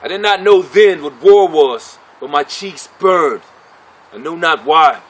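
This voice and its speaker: Man acting a high-voiced, proud gladiator chief orating. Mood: wistful. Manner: declamatory, formal oratory with deliberate pauses. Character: proud, bitter gladiator chief addressing his fellows. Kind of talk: theatrical dramatic monologue.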